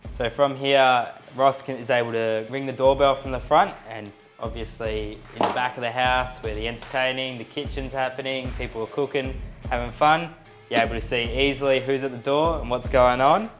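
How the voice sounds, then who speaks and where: A man's voice: medium pitch, fairly even intonation, normal speed. A young man, an electrician, giving a casual and easygoing explanation of a job to camera. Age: young adult. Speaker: electrician presenting an installation. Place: indoors in a house. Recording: faint music behind the voice.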